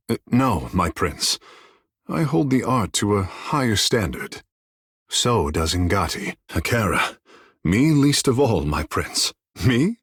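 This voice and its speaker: Proud flamboyant island mage, low voice, sweeping melodic swings, lofty formal phrasing, fantasy game character. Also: male voice, fairly bright tone, breathy edge, no echo, studio quality, heavily acted. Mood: deferential. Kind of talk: video game voice acting.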